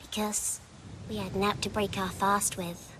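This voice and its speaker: A woman's voice: medium pitch, little pitch movement, measured pace, fairly bright tone, slight background noise, close to an everyday voice. A female performer voicing a small, earnest peasant girl in a fantasy game, naive and simply spoken. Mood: innocent, matter-of-fact. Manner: naive, simple rustic phrasing.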